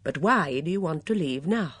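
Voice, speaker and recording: A woman voicing a brisk, capable middle-aged lady of the house who talks crisply and firmly. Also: sits low, lively intonation, no echo, studio quality. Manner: crisp, firm, sharp.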